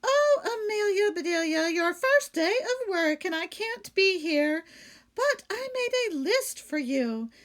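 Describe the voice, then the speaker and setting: Lady of the house for a storybook a woman acts it: very high, emphatic, fussy, apologetic. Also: big pitch swings, slow pace, a bit bright, heavily acted. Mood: flustered, apologetic. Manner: exclaiming, emphatic. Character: fussing, apologetic lady employer instructing her new maid. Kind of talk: children's storybook read-aloud character voice.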